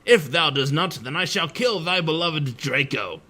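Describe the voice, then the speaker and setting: A man's voice: on the high side, expressive, moderate pace, sharp tone. A man voicing a pompous male wizard villain in a fan-fiction reading, issuing haughty mock-archaic commands. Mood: menacing.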